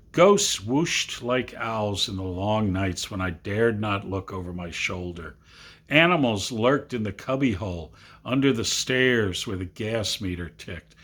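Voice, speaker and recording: A man giving an expressive, dramatic reading of a literary story, lingering over descriptive phrases. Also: mid-range voice. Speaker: amateur reader of a literary story.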